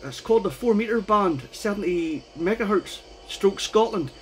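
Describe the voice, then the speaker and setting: High-pitched man chatting as a radio ham. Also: lively intonation, normal speed, a little hiss or hum. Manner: chatty, informal, repeats "you know what I mean". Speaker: amateur radio operator. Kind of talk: casual radio contact chat.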